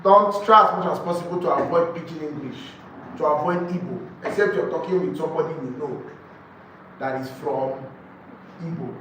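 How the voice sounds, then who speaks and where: A man's voice: a little high, normal speed, a bit bright. A man with a Nigerian accent teaching a training session, emphatic, repeating key phrases and putting rhetorical questions to his listeners. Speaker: real estate sales trainer. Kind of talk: live training lecture to a group. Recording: echoey room, distant mic, faint noise.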